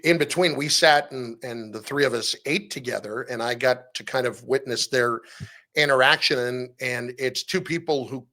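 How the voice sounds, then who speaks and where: A man speaking as a guest in a casual sports podcast interview, telling stories in an animated, conversational way. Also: medium pitch. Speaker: interview guest, sports radio play-by-play announcer.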